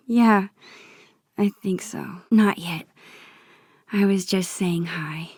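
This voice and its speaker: A woman voicing a sweet, shy, nerdy teenage girl in a video game, with a soft, often breathy voice and a gentle, teasing, earnest manner. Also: mid-range voice, very slow, no echo, studio quality. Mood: lighthearted.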